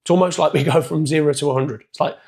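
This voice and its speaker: A man with a hoarse, croaky voice giving a casual, chatty motivational coaching talk. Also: fairly high pitch, steady tone, fast, a little mellow. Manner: casual, chatty, loosely structured. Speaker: performance coach.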